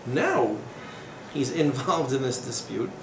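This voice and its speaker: A man's voice: mid-range voice, animated, slow pace, creaky. A man in his thirties teaching a class in a small room, talking informally with digressions, fillers and sardonic humor. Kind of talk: informal lecture with asides and jokes. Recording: echoey room with background noise.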